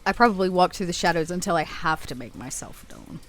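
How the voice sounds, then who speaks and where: A woman's voice: fairly low pitch, expressive, fast talker, low-quality recording. A woman playing a tabletop roleplaying game, narrating her character's actions as she improvises.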